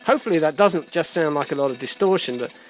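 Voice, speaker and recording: A middle-aged man giving an informal hands-on tutorial in a halting, explanatory way, often correcting himself. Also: on the high side, animated, poor audio. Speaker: electronics hobbyist demonstrator. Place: indoors at a workbench. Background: music playing from a small speaker at times.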